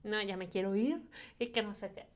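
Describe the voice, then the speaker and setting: A woman hosting a podcast, telling lively stories and asking guiding questions in casual conversation. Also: mid-range voice, moderate pace. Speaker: podcast host.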